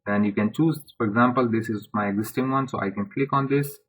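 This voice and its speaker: Low-pitched man narrating a noisy screencast. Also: fairly quick. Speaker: software tutorial presenter. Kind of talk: informal step-by-step screencast tutorial narration.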